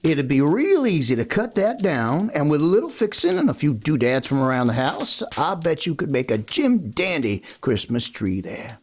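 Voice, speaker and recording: A man playing a kindly, easygoing cowboy in a western radio play, talking in a halting, folksy way. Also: a little high, sing-song, a little hiss or hum. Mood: friendly, musing. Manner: folksy, halting, gently coaxing. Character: kindly, easygoing drifting cowboy of the Old West.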